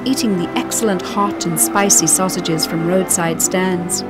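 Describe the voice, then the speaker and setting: A woman reading scripted travel documentary narration in a measured, descriptive way. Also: lowish voice, fairly even intonation. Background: background music.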